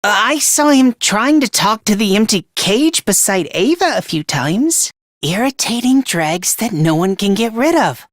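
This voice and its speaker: A man voicing a timid, self-loathing young male student in an anime video game, with a youthful, high voice and a meek, apologetic, hesitant manner. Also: big pitch swings, a little slow, dry recording, studio quality. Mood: meek, self-deprecating. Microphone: close studio mic.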